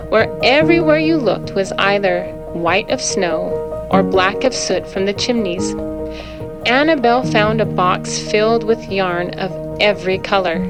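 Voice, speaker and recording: A woman librarian reading a children's storybook aloud in simple phrasing meant for young listeners. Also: mid-range voice. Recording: loud music behind the voice. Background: music.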